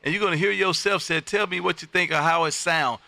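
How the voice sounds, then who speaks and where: American male ham radio host, folksy and effusive, very bright forceful tone with some gravel. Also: sits high, a little fast, no room sound. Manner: folksy, effusive, peppers talk with friendly address. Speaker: amateur radio operator and livestream host. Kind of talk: casual ham radio chat.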